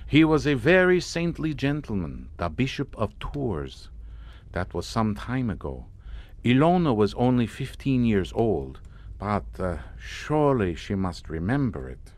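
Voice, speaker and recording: An actor playing a courtly, grandiose and mockingly amused Hungarian count in a suspense audio drama. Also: male voice, medium pitch, big pitch swings, unhurried, darkish tone. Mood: wry storytelling. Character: sardonic, theatrical Hungarian count, host of a chateau.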